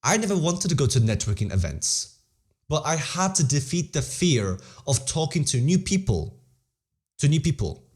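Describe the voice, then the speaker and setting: A man's voice: fairly high pitch, very animated, normal speed, a little mellow, breathy edge. A teenage boy, an interview guest, talking earnestly and motivationally in a casual way, often repeating phrases and restarting sentences. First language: Polish-English bilingual, English stronger. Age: teens.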